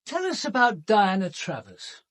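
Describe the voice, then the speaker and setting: An actor playing a composed senior British police detective who narrates and questions in a measured, formal radio drama style. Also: male voice, sits high, sing-song, a bit bright, no room sound, full character voice. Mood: politely probing.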